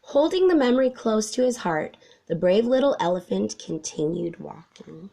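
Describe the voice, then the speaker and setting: A woman reading a children's story aloud in an expressive narrating style. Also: medium pitch, moderate pace, creaky. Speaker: storybook reader.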